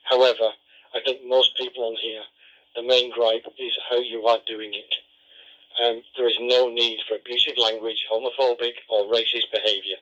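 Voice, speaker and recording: A man speaking over two-way radio as an amateur operator, courteous, measured and diplomatic. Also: medium pitch, bright, forceful tone. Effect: two-way radio transmission. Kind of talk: polite on-air radio exchange. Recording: muffled lo-fi digital two-way radio.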